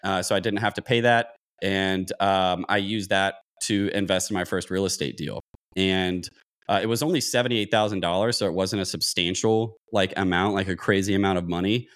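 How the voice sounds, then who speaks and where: Guy in his 30s, lowish voice, podcast guest talking it through, restarts his sentences a lot. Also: steady tone, moderate pace, a bit bright. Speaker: podcast guest, real estate investor. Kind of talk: conversational interview explanation.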